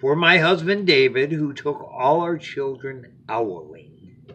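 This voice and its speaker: A man reads a children's picture book aloud as a bedtime story, expressively, with dramatic pauses and phrases that drop to a hush. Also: medium pitch, expressive, breathy edge, lo-fi sound.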